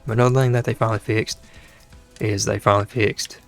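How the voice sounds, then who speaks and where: A man hosting a gaming channel, talking casually over gameplay with a dry throat and a hoarse voice, rambling and exasperated, often restarting his sentences. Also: on the low side, level tone, brisk, faint music behind. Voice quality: gravelly, creaky voice.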